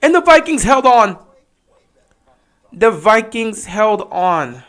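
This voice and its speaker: A man giving casual live play-by-play commentary on a stream, getting excited and loud on big plays. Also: sits high, slow. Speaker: livestream game commentator.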